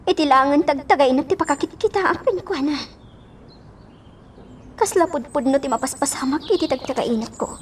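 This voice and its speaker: A woman's voice: sits high, normal speed, long pauses, recorded from a distance, slight background noise, natural voice. A woman playing a heartbroken young lady in a radio drama, bitter and wistful, with emotive delivery. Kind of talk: Ilocano radio drama acting.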